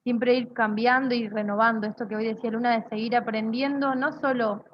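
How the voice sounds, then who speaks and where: A young woman explaining her theatre group's work in a halting, conversational panel style. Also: a little high, steady tone, lo-fi sound, slight background noise. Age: young adult.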